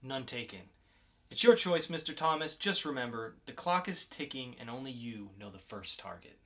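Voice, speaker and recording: A man acting a calm, probing interrogator with a formal, measured delivery in a dramatic comic-book reading. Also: mid-range voice. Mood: coaxing, firm.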